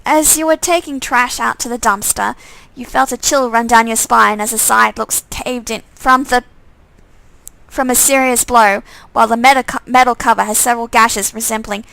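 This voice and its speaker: Lady reading story game narration out loud, bright voice, really dry close sound, trips on words sometimes. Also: fairly high pitch, fairly even intonation, moderate pace, gravelly, dry recording, poor audio. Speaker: streamer reading a visual novel aloud. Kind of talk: live read-aloud narration with asides.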